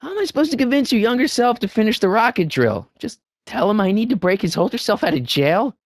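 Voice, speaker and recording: An actor playing an excitable, good-hearted teenage boy in an adventure video game, with hesitant stammers and an incredulous way of questioning things. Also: sits high, quick pace, no echo, studio quality, full character voice. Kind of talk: animated video game voice acting.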